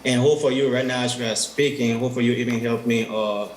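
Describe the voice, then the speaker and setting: A man's voice: medium pitch, steady tone, a little fast, roomy sound, a little hiss or hum, rough recording. A young man from Liberia giving simple, heartfelt personal testimony of thanks. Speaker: youth testifier.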